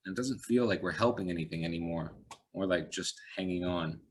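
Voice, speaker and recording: A man voicing a weary, despairing policewoman who talks in a blunt monotone. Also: low-pitched, fairly quick, natural voice. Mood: flat. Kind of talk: audiobook reading.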